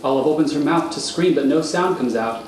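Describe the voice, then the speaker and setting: A man narrating scripted stage directions for a radio thriller in a measured, matter-of-fact manner. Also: a little high. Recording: echoey room, faint noise.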